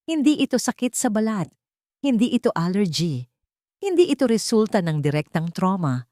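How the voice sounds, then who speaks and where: A woman's voice: mid-range voice, sing-song, moderate pace, dark tone, no echo, studio quality, voice pushed up, lightly acted. Woman voicing an earnest, troubled male doctor. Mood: puzzled, intense. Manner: intense, questioning, muttering to himself.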